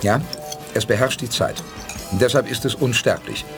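An actor playing a calm, decisive male spaceship commander who reasons things through in a measured way, in a German radio play. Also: medium pitch, music in the background, lightly acted. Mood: steady. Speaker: lead character.

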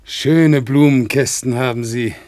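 An actor playing a proud, dutiful one-legged war veteran who works as an organ grinder, speaking in a solemn, deferential way in a radio play. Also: male voice, medium pitch, measured pace, darkish tone, dry recording, close to an everyday voice. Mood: obsequious. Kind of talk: 1960s radio play acting. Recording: faint music behind, older radio play recording.

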